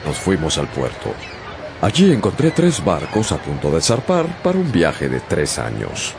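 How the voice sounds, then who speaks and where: A man's voice: a bass voice, wildly swooping pitch, measured pace, creaky, noisy background, exaggerated voice. An actor playing a young sailor who narrates his own sea adventure in a dramatized radio story. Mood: wry, reflective. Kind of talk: dramatized first-person storytelling. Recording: music bed behind narration.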